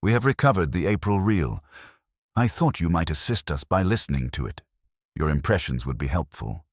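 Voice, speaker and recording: Man as a deep-voiced, courteous, shrewd police inspector. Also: animated, normal speed, dry recording, studio quality. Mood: mild, probing. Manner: gentle, polite, quietly probing.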